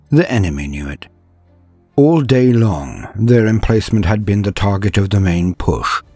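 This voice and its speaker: A man's voice: fairly low pitch, darkish tone, breathy edge, no room sound. A man narrating scripted fiction and lore in a theatrical, grandiose storyteller manner.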